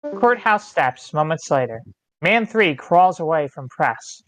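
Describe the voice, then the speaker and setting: Measured stage-directions reader, dry sound. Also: mid-range voice, expressive, no echo, low-quality recording. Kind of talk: scripted narration. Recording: online meeting, close and dry.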